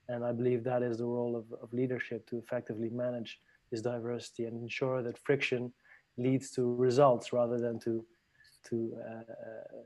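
A man from France, a guest on a podcast interview, speaking thoughtfully with many pauses and restarts. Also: medium pitch, mellow, dry recording, rough recording. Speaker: guest, leadership trainer.

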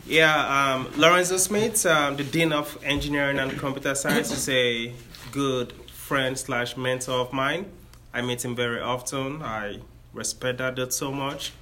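Nigerian engineer in his thirties, bright forceful voice, friendly explainer to a class. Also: mid-range voice, fairly even intonation, unhurried, slight background noise. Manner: friendly, explains step by step with self-corrections. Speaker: engineer giving a career talk. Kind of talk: informal explanatory talk to students.

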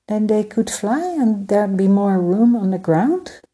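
Woman doing an earnest little prince, pitched up, stumbling over his words, slow. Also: dark tone, breathy edge. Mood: eager, pleading. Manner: eager, pleading, stammering. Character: eager, earnest young boy prince. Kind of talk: storybook read-aloud.

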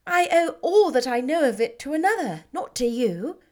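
A woman voicing a gentle, sweet-natured young woman who speaks softly and earnestly. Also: high voice, very animated, normal speed, voice pushed up. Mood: affectionate.

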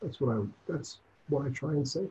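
A man's voice: mid-range voice, animated, fairly quick, warm tone, slight background noise, low-quality recording. An older man from Cuba, a clinician answering interview questions in an earnest way and repeating points for emphasis. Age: 60s or older.

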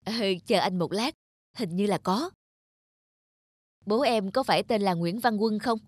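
An actor voicing a dutiful young rural postman in an animated folk tale, gentle, polite and reassuring. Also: mid-range voice, a little slow, slightly rough, dry recording, clean studio sound.

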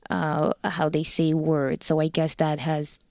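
A woman with a Dutch accent talks in an open, lively way as an interview guest. Her voice sits a little low, and the sound is dry and close. Her first language is Dutch. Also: unhurried, no echo. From Netherlands. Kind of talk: casual interview chat.

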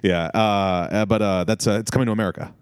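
A man in his twenties, a comedian guest on a podcast, telling animated stories and laughing often. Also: on the low side, fast, smooth, no room sound, studio quality. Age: 20s. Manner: animated storyteller, drops into voices and laughs often. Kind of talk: casual podcast chat.

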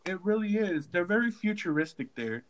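A man chatting casually with friends, animated and joking, with lots of "like" fillers. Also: high voice, moderate pace, a little hiss or hum. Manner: animated, joking, uses "like" often. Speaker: group chat member. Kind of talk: casual group chat.

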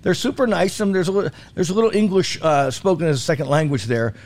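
A man's voice: fairly high pitch, quick pace, a little mellow. Male food commentator for casual radio chat, self-deprecating and jokey, repeats phrases for emphasis. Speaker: food commentator on a talk show.

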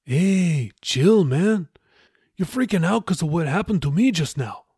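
A man's voice: fairly high pitch, animated, a little slow, warm tone, husky, no echo, clean studio sound, pitched above the performer's own voice, exaggerated voice. A man voicing a reformed tough young male sailor in an audiobook, steadfast and earnest, reasoning in slangy speech. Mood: earnest protest.

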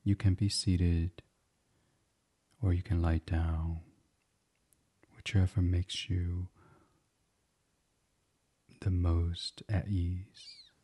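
A man guiding a bedtime meditation as a podcast host, speaking softly and soothingly in an unhurried, hushed, breathy way. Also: low voice, level tone. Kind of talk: guided meditation, gentle bedtime reading.

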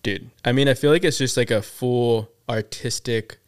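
A man's voice: mid-range voice, moderate pace, clear, resonant tone. A young man hosting a casual podcast, laid-back and slangy, laughing often as he chats with his guest. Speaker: podcast host.

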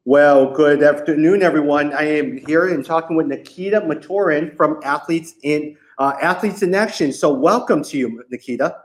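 A man's voice: fairly high pitch, moderate pace, hardly any pauses. A man chatting casually as an interviewer, asking his guest questions. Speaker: interviewer, host.

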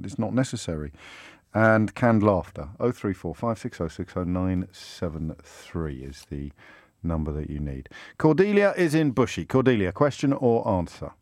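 A man's voice: on the low side, animated, moderate pace, darkish tone, dry recording. A man hosting a radio phone-in show from a studio, wry and teasing with his callers. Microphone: studio microphone.

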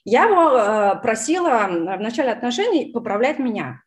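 A woman's voice: a little high, big pitch swings, normal speed. A woman whose first language is Russian, chatting casually with many fillers and restarts. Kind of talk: casual conversation.

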